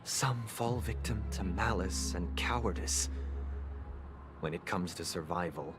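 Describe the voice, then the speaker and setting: An actor playing a solemn, disillusioned man in a video game, speaking in measured, formal, philosophical oratory. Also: low-pitched. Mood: grave, resolute. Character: solemn, disillusioned adult brother, an eloquent idealist turned cynic. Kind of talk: scripted video game drama. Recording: loud music behind the voice. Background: music.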